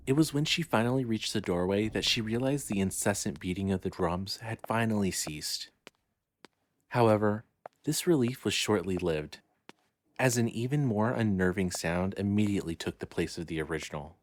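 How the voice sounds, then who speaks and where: A man's voice: fairly low pitch, expressive, moderate pace. A man narrating a scripted horror story as the storyteller of an audio drama.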